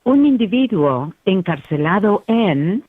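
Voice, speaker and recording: A man's voice: high-pitched, very animated, a little slow. A man's voice giving a scripted automated announcement on a phone system. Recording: dull lo-fi phone system audio.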